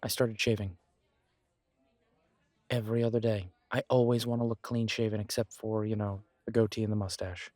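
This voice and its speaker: A man's voice: on the low side, flat delivery, a little mellow, no room sound, close to an everyday voice. A man playing a supportive, self-deprecating best friend in a conversational roleplay audio, talking in a reassuring, reflective way. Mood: encouraging. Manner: reassuring, chatty, reflective pauses. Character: warm, encouraging male best friend, self-deprecating, gently earnest.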